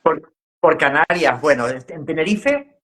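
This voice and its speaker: A man's voice: fairly high pitch, expressive, measured pace, fairly bright tone. Reflective Spanish man in his fifties. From La Mancha, Spain. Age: 50s. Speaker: guest, educator and trainer. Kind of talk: casual interview conversation.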